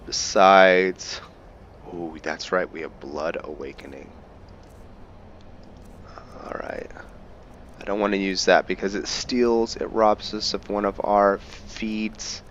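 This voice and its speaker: A man's voice: low-pitched, steady tone, slow, pauses often, a bit bright, dull audio, slight background noise. A man doing casual let's play commentary, thinking aloud to his viewers and slipping into roleplay. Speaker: gaming video host.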